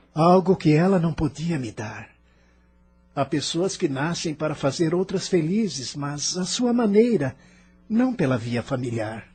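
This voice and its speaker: A man's voice: fairly high pitch, a little mellow, husky, full character voice. An actor with a Brazilian accent playing an embittered, stubborn immigrant man who argues with emphatic self-pity in an audio novel. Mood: defiant. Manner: argumentative, self-pitying, emphatic. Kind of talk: dramatized audio novel dialogue.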